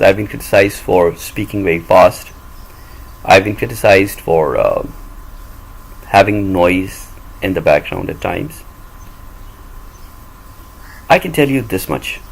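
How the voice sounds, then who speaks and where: Gravelly Pakistani man, blunt and defiant. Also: fairly low pitch, poor audio. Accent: Pakistani English. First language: Urdu. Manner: blunt, combative, repetitive emphasis. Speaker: voice-over reader and vlogger. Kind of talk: defiant personal monologue.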